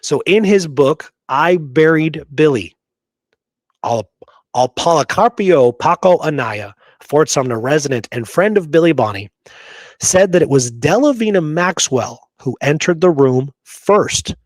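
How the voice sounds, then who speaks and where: A man's voice: a little high, animated, measured pace, no echo. A man hosting a conversational history discussion, speaking in an emphatic, opinionated way and repeating points to drive them home. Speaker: podcast host explaining frontier history.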